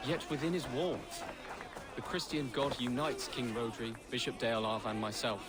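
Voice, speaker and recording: A man's voice: medium pitch, steady tone, normal speed, noisy background, lightly acted. Man playing an earnest, humble young noble. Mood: hopeful. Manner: polite, formal, unsure of himself. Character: earnest, humble young male heir to a lordship. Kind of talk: video game character acting. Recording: music behind the voice.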